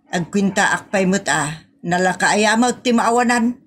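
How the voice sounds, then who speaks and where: Wary Ilocano village wife played by an actress. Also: female voice, mid-range voice, a little slow, fairly bright tone, slight background noise, natural voice. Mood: reluctant. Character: thrifty, wary married village woman, haggling over money. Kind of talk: comedy audio drama acting.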